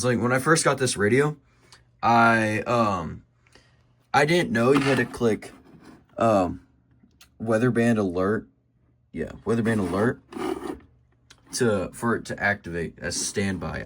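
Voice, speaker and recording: Young American male hobbyist vlogger, lowish voice, halting and self-correcting, clearly noisy home recording. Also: expressive, fairly bright tone. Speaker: hobbyist showing a radio collection. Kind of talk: casual show-and-tell vlog. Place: indoors at home by a desk.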